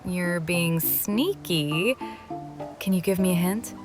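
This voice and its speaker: A woman's voice: lowish voice, slow pace, music in the background. A woman reading a scripted first-person game story, moving between narration and dialogue. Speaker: voice-over reader of a game story.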